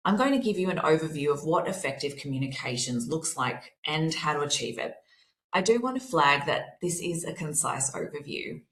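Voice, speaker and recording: A woman with a slightly hoarse voice, presenting a workplace seminar in a measured, explanatory way. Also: deep voice, lively intonation, a bit bright, reverberant, poor audio. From Australia.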